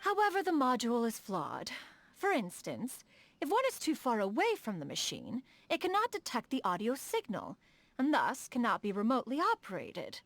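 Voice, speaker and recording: A proud adeptus noblewoman and inventor for a video game: high, expressive delivery, stately archaic phrasing. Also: moderate pace, dry recording, heavily acted. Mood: preoccupied, haughty. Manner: formal, archaic, lofty diction, speaks of herself as "one". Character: proud, refined immortal adeptus woman, inventor of mechanical contraptions. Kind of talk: scripted video game character dialogue.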